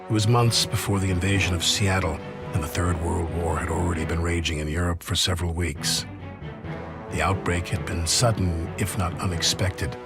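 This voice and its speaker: A man narrating a war game's story as a solemn chronicler, in measured scripted delivery. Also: low-pitched, fairly even intonation. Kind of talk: scripted documentary-like game narration. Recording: loud music under the voice.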